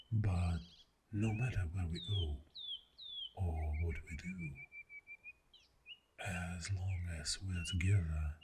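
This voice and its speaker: Very slow, deep, soft-spoken boyfriend, acted by a man. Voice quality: soft, breathy, often whispering. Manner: slow, intimate, affectionate, lingering pauses. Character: clingy, tender, devoted boyfriend on a morning camping trip. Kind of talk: ASMR boyfriend audio roleplay. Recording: steady outdoor nature sound noise. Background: birdsong and nature sounds.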